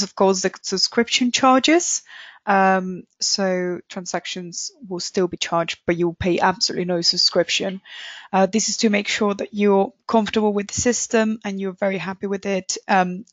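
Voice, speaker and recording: A woman's voice: medium pitch, no room sound, highs cut off. Woman hosting a webinar. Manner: polite, hesitant with fillers. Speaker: webinar host. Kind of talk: webinar wrap-up announcements.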